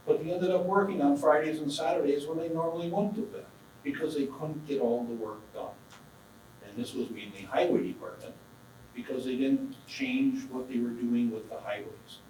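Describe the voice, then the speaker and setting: Plain-spoken man, far off in a booming hall. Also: warm tone, a little hiss or hum. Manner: plain-spoken, rambling questions. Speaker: county supervisor. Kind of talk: questioning at a public meeting. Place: council chamber. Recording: distant mic in a very echoey hall.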